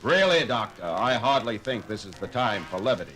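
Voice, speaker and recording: A man playing a coolly logical alien science officer in a 1970s audio drama, with measured, formal and precise diction. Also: lowish voice, very animated, fairly bright tone. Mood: indignant. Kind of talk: 1970s space adventure audio drama acting. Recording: 1970s record drama over clear music.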